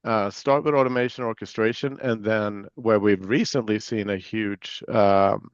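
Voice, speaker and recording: A man from Sweden with a Swedish accent blended with American Southern tones, answering interview questions in a relaxed, filler-filled way. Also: on the low side, measured pace, no echo, lo-fi sound. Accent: Swedish mixed with American Southern. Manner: relaxed, many fillers and restarts. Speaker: guest, security executive.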